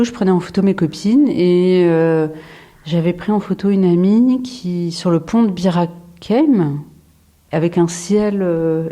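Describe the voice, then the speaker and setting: A woman in her forties, from France, a photographer answering interview questions in a reflective way, with frequent pauses, fillers and restarts. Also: on the low side, dark tone. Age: 40s. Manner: hesitant, many fillers and restarts.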